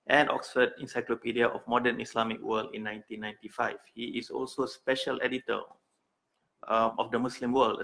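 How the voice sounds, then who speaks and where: A man's voice: on the low side, gravelly, poor audio. A man moderating an event, reading out a speaker's introduction with many fillers. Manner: reads lists flatly with many fillers.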